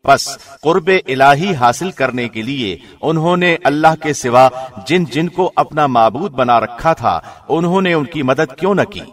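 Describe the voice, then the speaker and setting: Mid-pitched man reading scripture translation. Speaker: translation reader, radio host.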